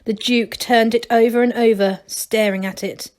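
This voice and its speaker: A woman's voice: on the high side, steady tone, normal speed. A woman storyteller reading a children's book aloud.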